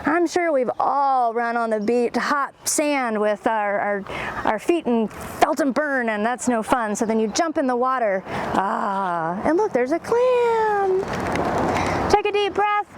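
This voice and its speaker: A woman's voice: a little high, lively intonation, slow pace, noisy background. A woman hosting storytime for young children, reading aloud expressively and adding chatty, enthusiastic asides. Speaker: children's librarian, storytime host.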